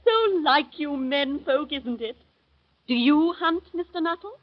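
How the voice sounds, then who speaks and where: An actress playing a chatty, refined middle-aged aunt, in 1930s radio acting style. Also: female voice, sits high, expressive, measured pace. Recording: muffled old radio recording.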